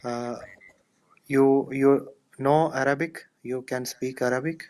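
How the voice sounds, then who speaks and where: A man chatting casually online in halting, non-native English, often repeating his phrases. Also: medium pitch, fairly even intonation, a little mellow.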